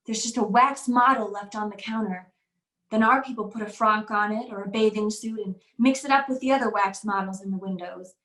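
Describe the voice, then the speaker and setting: A woman's voice: a little high, natural voice. An actress playing a timid, naive young servant woman in a radio drama, speaking with fearful, innocent earnestness. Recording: roomy, echoing voice track.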